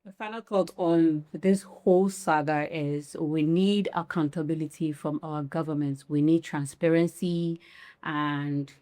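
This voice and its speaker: Woman, panelist, very low voice, firm. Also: steady tone, unhurried, warm tone.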